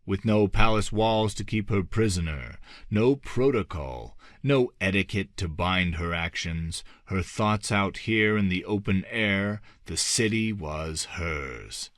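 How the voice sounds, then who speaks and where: A man reading a gothic fantasy audiobook as scripted narration, with measured, dramatic phrasing that often drops softly at the ends of phrases. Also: low-pitched, dry recording, studio quality.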